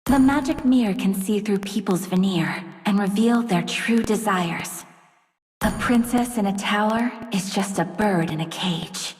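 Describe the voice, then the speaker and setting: Game casting: actress as cold, regal dark princess, proud and vengeful, slow measured delivery, medium pitch. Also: female voice, natural voice. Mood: cold resolve. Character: dark fairy-tale princess turned proud, vengeful queen. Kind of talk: video game character voice lines. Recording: long reverb, music behind.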